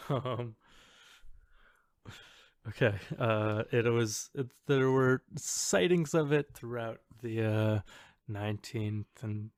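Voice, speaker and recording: A man co-hosting a podcast in casual chat, halting and tentative as he thinks aloud. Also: medium pitch, dry recording, clean studio sound. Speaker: podcast co-host.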